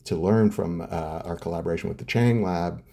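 A man's voice: deep voice, a little fast, creaky, mellow. A middle-aged man answering interview questions as a scientist guest, explaining step by step with many hesitant fillers and restarts. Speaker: neuroscientist guest.

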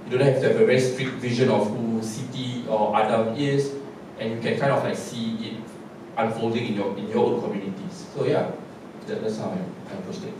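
A man's voice: on the low side, fairly quick. Reflective man in an echoey theatre. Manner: relaxed, reflective, many fillers and restarts. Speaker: playwright. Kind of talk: post-show panel discussion. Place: large theatre stage. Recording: echoey hall, distant mic, noise.

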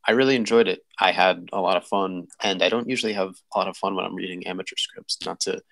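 A man giving informal script notes in a halting, stop-start way, often correcting himself. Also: deep voice, fairly even intonation, creaky, a bit bright. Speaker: table read participant giving script feedback. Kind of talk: informal spoken critique. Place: remote online voice call.

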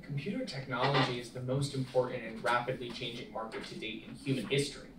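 A young man reading the part of an earnest, hesitant college student at a film table read, speaking in a halting way. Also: medium pitch, fairly bright tone, lightly acted. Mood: reflective. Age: young adult. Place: small meeting room, indoors. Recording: echoey room, distant mic, clear noise.

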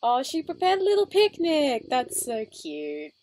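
Female reaction commentator: slightly high voice, slow casual delivery, animated intonation.

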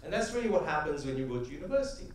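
A middle-aged man giving an animated keynote talk on stage with a headset mic, telling stories and asking rhetorical questions. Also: fairly high pitch, rapid-fire, slight background noise. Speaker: conference speaker. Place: large conference hall stage. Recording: echoey hall, heard from a distance.

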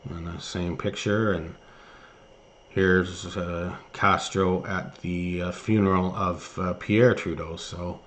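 A man hosting an online news commentary, reading articles aloud and remarking on them somewhat haltingly. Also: sits low, steady tone. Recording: noticeable background noise.

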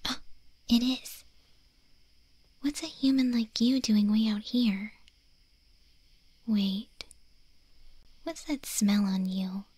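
A woman playing a friendly, curious young wolf girl in an ASMR roleplay, speaking softly and gently in a breathy, hesitant near-whisper. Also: fairly high pitch, slow pace, long pauses, no room sound. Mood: curious, puzzled. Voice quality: soft, breathy, mostly whispered.

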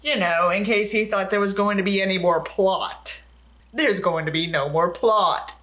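A woman's voice: mid-range voice, moderate pace, a bit bright. A young adult woman reading a book aloud dramatically and breaking in with sarcastic, exasperated asides. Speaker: video creator reading a book aloud with commentary.

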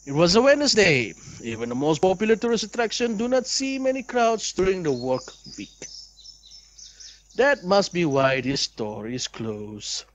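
A man's voice: sits high, sing-song, normal speed, a little hiss or hum. A young man reads a visual novel aloud as a let's play host, with dramatic expression and asides.

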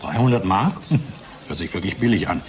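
A careful scientist in a radio play. He is sceptical and hesitant, with a fairly low voice. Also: lively intonation, moderate pace. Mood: incredulous. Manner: questioning, hesitant. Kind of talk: German radio drama acting.

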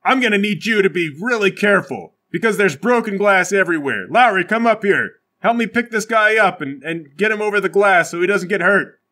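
A man's voice: high-pitched, expressive, fairly quick, hardly any pauses, pushed and bright. A man playing an overeager, self-important cop in a tabletop game, casual and mock-tough. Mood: bossy.

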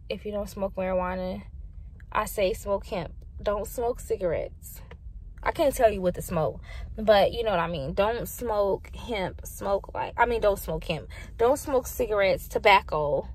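A woman's voice: mid-range voice, animated, measured pace. A slightly tipsy woman vlogger chatting casually from inside a car, rambling and swearing freely. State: a little tipsy. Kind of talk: casual chat. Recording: noisy in-car recording.

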